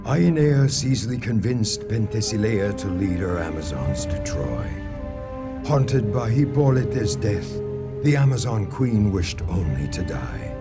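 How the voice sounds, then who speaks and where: A man's voice: fairly low pitch, big pitch swings, unhurried, gravelly, heavily acted. An actor narrating an ancient war epic for a game as a solemn, ominous male storyteller with a grave, portentous manner. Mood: grave, foreboding. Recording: loud music under the voice.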